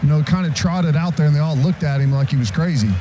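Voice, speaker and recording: A man's voice: a little high, quick pace, dark tone, dull audio, slight background noise. An American man giving informal live color commentary on a football radio broadcast, explaining what he saw in a conversational way.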